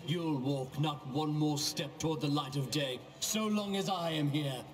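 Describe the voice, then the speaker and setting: A man's voice: fairly high pitch, measured pace, lightly acted. A man voicing a pompous, boastful legendary champion in a video game, declaiming in grandiose, archaic phrases. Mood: haughty, defiant. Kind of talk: video game character acting.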